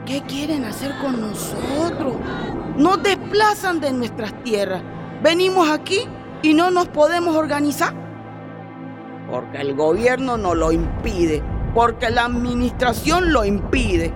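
A woman playing a defiant displaced mother and community leader in a radio drama, speaking in firm, insistent, declamatory protest. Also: high-pitched. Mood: defiant, demanding.

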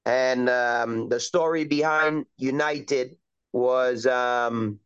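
A middle-aged man from Trinidad and Tobago chats easily as an interview guest. He wanders through his answers with plenty of ums and you-knows. His voice is smooth and resonant, though the recording sounds a little dull and lo-fi. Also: mid-range voice, fairly even intonation, very slow, fairly bright tone. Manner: easygoing, rambling with many fillers. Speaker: soccer coach, guest. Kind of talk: casual interview chat.

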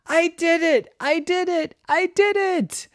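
A woman acts out an astonished parent from a children's story. The delivery is emphatic and full of questions, swinging high and low, in a dry close sound. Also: wildly swooping pitch, moderate pace, dry recording. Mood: triumphant. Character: startled, exclaiming mother and father of young boys.

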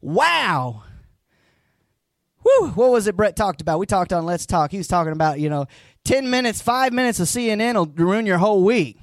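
Hoarse pastor guy preaching, high voice jumping all over, folksy, dry close sound. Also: sing-song, normal speed, no echo. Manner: preachy, rising to emphatic near-shouts; folksy asides. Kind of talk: live sermon.

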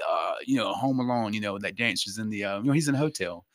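A man's voice: on the low side, animated, quick pace, no room sound, clean studio sound. A man as a guest in a casual chat, easygoing, with many fillers.

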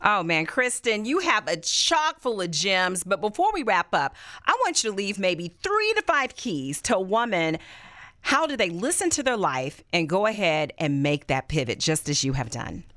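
Lively, expressive woman interview host. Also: medium pitch, normal speed, dry recording, clean studio sound. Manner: enthusiastic, affirming, expressive. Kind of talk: conversational interview.

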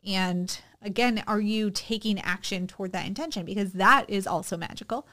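A woman co-hosting a podcast in casual chat, talking in a relaxed, reflective way with frequent pauses and fillers. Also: medium pitch. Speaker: podcast co-host.

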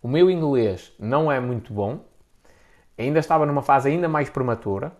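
A man's voice: mid-range voice, animated, normal speed, darkish tone. A man with a European Portuguese accent giving informal, opinionated advice in a blunt, slangy, emphatic way, often repeating himself for emphasis. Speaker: content creator giving business advice. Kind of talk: informal opinionated talk to an audience.

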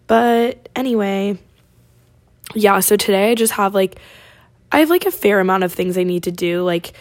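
A college-age woman from Oregon hosting her podcast, chatting casually with a raspy voice and lots of fillers. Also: medium pitch, normal speed. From Oregon, USA. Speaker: podcast host. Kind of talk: casual chat.

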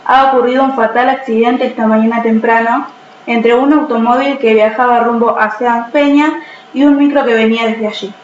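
A woman's voice: on the high side, fairly even intonation, normal speed, natural voice. Female amateur as breaking-news reporter, radio play style, muffled roomy audio. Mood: newsy. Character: female radio newsreader reporting a fatal accident. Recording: dull, echoey, faintly noisy.

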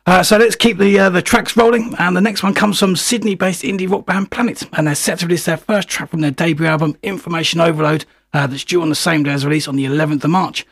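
A man hosting a music radio show, slightly husky while recovering from a chest infection, talking chattily with many fillers. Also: fairly high pitch, a bit bright, no room sound, studio quality. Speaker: radio host.

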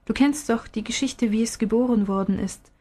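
Storybook father read by a woman, patient, gently probing, explaining things step by step. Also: fairly high pitch, moderate pace, natural voice.

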